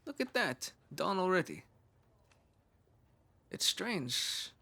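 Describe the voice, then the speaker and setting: A man voicing a driven, earnest young male scientist of poor roots in an intimate ASMR roleplay monologue, musing in measured phrases with thoughtful pauses. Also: sits high, very animated, gravelly, pushed and bright, pitched above the performer's own voice, full character voice. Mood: softly amused.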